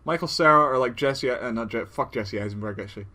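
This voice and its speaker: A man's voice: mid-range voice, fast, bright, forceful tone. A young man co-hosting a podcast with casual, crude and teasing comedic banter. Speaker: podcast co-host.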